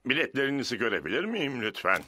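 Animated rabbit station master, played by a man. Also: mid-range voice, fast, heavy background noise, lightly acted. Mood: businesslike, patient. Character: fussy, kindly male rabbit station master.

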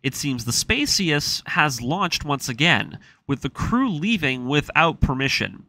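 A man narrating a scripted, dramatic recap of a story in an expressive storytelling manner. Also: fairly high pitch, measured pace. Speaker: narrator of an anime story breakdown series. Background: background music.

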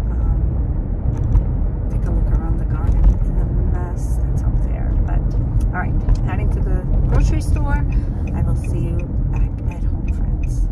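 Slow-talking woman vlogging while driving. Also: fairly low pitch, dull audio. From Portugal, Azores. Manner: chatty and rambling, many fillers and restarts. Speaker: lifestyle vlogger. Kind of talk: casual chat while driving. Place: inside a car. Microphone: dashboard camera mic. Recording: distant mic, loud road noise.

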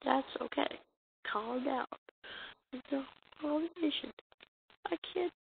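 A muffled, slightly hissy home recording of a woman with a high voice. She reads cartoon comic dialogue in an amateur dramatic style, halting and stumbling as she goes at an unhurried pace. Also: vocal fry. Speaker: amateur comic dub voice actor. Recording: muffled lo-fi mic with hiss.

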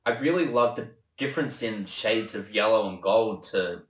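A man's voice: on the low side, moderate pace, recorded from a distance. Echoey young man reacting casually, off-mic. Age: young adult. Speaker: reaction video host. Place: small indoor room with shelves. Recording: echoey room, off-mic, music behind.

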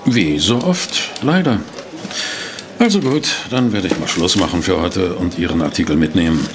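An actor playing a courteous, inquisitive newspaper reporter in a German audio drama, speaking politely and formally. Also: male voice, sits low, animated, normal speed, noisy background. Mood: curious, courteous. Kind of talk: German audio drama acting and first-person narration.

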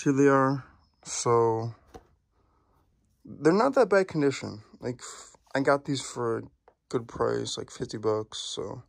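A man's voice: mid-range voice, creaky. A young man talking casually to his viewers, rambling with lots of fillers and restarts. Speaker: vlogger. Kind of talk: casual vlog chat.

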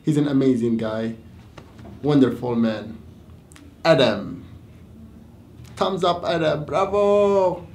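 A man in his twenties, an English teacher, doing a playful classroom dialogue in a meeting room, swinging from loud mock outrage to quiet. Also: a little high, lively intonation, slow pace, pauses often, slight background noise. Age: 20s.

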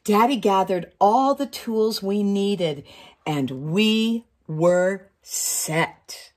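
A middle-aged woman reading a picture book aloud to children, expressively, with chatty asides and questions to her listeners. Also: mid-range voice. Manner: expressive, drawn-out phrasing with questions to young listeners. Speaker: community storytime reader. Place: small wood-panelled room indoors.

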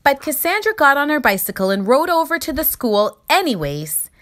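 Animated woman storyteller with a high voice. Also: normal speed. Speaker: storyteller reading a children's book aloud. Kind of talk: expressive scripted narration for children.

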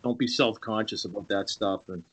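A man's voice: fairly low pitch, fairly even intonation, a little fast, a bit bright, slightly rough. A man speaking casually and informally as a screenwriter on a group video call. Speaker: screenwriter giving thanks.

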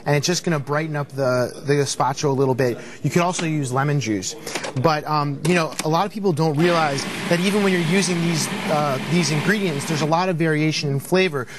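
A man in his late twenties, a chef guest chatting easygoingly through a cooking demo on a studio kitchen set, with a lapel mic and halting restarts. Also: a little high, background noise. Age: late 20s.

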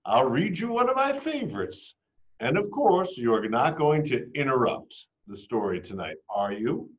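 Guy doing a tired storybook chicken papa, patient gentle scolding, slow pace, dull sound. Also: medium pitch, big pitch swings. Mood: fond.

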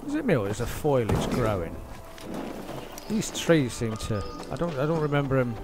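A man's voice: medium pitch, big pitch swings, normal speed. A man giving casual gameplay commentary, muttering and thinking aloud as he plays. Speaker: gamer narrating own gameplay. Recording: game music loud behind voice. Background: video game music and sound effects.

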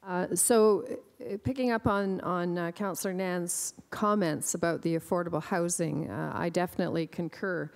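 A woman's voice: lowish voice, fairly even intonation, moderate pace, creaky, few pauses, big-room sound. A woman chairing a council committee, speaking firmly and pressing a point about housing. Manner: emphatic, firm. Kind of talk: council meeting remarks. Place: council chamber.

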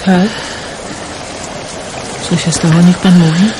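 An actress playing an anxious, emotional aunt sheltering refugees in a wartime radio drama. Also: female voice, lowish voice, little pitch movement, slow, background noise. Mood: startled, worried.

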